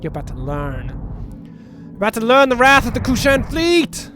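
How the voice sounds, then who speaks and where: A man's voice: very high voice, huge pitch swings, normal speed, sharp tone. A man with a sniffly, runny nose, giving joking, rambling commentary as a let's play host and talking back to the game's characters. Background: game music and spaceship sounds.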